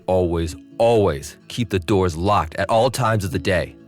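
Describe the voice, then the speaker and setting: A man playing a deep-voiced controlling husband. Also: a little slow, faint music behind. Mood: insistent. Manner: insistent, lecturing. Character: possessive, controlling husband in his prime.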